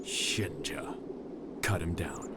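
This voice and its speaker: A man's voice: a bass voice, slow, very noisy. A man playing a grave, resolute warrior clan heir in a game cutscene, speaking in a serious, commanding manner. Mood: grim, resolute. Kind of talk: video game cutscene acting.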